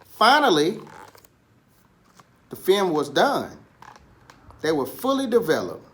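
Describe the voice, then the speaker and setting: Guy telling a story to kids, slightly high voice, really expressive, big pauses and stretched out words. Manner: dramatic pauses and emphatic, drawn-out repetition. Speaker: storybook reader. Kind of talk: animated children's storytelling.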